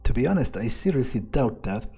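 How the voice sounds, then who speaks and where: A man improvising in-character video game commentary, thinking aloud in a deadpan, callous way with pauses. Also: mid-range voice, creaky, breathy edge. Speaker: gameplay player staying in character.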